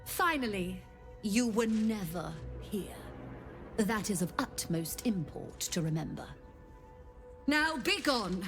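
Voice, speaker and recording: An actress playing a sly infernal fixer who runs an occult shop in a video game, speaking in an arch, theatrical, deliberate way. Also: female voice, medium pitch, expressive, a bit bright, soft background music. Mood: brisk, secretive. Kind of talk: video game character acting.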